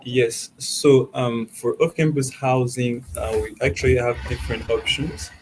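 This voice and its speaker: A man's voice: mid-range voice, fairly even intonation, unhurried, slight background noise, poor audio. A young man from Cameroon, a university student, explaining off-campus housing in a friendly way, often correcting himself. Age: young adult. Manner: friendly, self-correcting, with ums. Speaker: student presenter.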